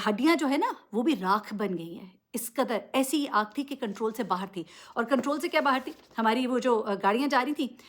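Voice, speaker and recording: A woman giving unscripted news commentary, addressing listeners directly and emphatically with rhetorical questions. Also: on the high side. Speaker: vlogger presenting news commentary.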